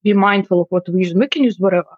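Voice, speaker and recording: A woman guest lays out her points in a measured, explanatory way, slipping in dry humor. Her mid-pitched voice comes through a dull, muffled remote connection with no room sound at all. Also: darkish tone, breathy edge, no echo. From Finland. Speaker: guest customer experience expert. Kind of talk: interview answers.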